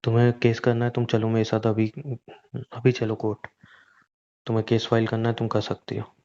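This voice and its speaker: A man's voice: lowish voice, monotone, brisk, a little mellow, muffled recording, close to an everyday voice. A man playing a weary, guilt-ridden young husband in a naturalistic relationship drama, earnest and pleading, often repeating himself. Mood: resigned, confessing. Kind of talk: naturalistic Hindi relationship audio drama, staged as a private conversation.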